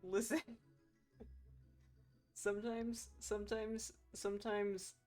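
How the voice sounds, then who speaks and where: A woman's voice: mid-range voice, flat delivery, slow pace, a little mellow, faint music behind. A woman streaming a game with casual, playful and irreverent commentary.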